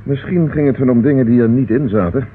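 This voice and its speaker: A man playing a calm, courteous, methodical police inspector in a radio play, measured and probing. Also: medium pitch, breathy edge, close to an everyday voice. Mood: businesslike. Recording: noisy, tinny old radio recording.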